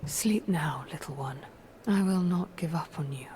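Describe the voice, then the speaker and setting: An actress playing a cold, scheming sorceress in a video game, regal, condescending and theatrical. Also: female voice, deep voice, unhurried, warm tone, a little hiss or hum. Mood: soft, pitying. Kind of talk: video game character voice lines.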